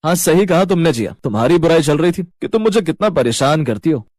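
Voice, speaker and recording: A man plays a smitten young husband in a cartoon family drama. He is gushing and amorous, with a husky voice in a very dry recording. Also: on the high side, lively intonation, fairly quick, a little mellow, no room sound. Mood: agreeable.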